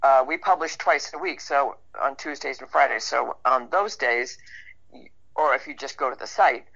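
A woman's voice: a bass voice, normal speed, vocal fry, fairly bright tone. A woman speaking casually and conversationally as a guest in an interview. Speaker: interview guest, actor and writer. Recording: dull band-limited radio call.

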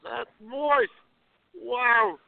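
An actor playing a cheeky, excitable male friend in a radio play, joking and admiring. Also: extremely high pitch, extremely slow, vocal fry, no echo, phone-quality sound, heavily acted. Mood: amused excitement.

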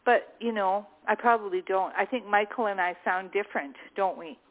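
A woman's voice: medium pitch, moderate pace. A woman with a Canadian accent chatting on a call-in show, searching for words as she goes. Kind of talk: casual call-in show chat. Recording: tinny phone line.

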